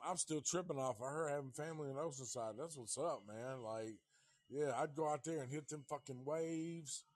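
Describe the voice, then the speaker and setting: A man's voice: on the high side, normal speed, no room sound. A fifty-year-old man from Tennessee hosting a casual livestream chat, rambling and swearing in a laid-back way. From Nashville, Tennessee. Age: 50s. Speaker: livestream host.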